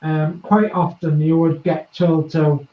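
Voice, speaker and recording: A man's voice: on the high side, level tone, slow pace, roomy sound, narrow, tinny audio. A man from East Yorkshire with a Yorkshire accent, hosting a casual group chat and rambling, with small chuckles between thoughts. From East Yorkshire, England. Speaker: host of a model-building chat.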